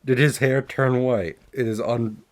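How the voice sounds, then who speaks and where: A man's voice: medium pitch, fairly even intonation, a little slow. A young man giving a casual, rambling recap as a podcast host, swearing freely as he goes. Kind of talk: casual chatty recap of an animated series.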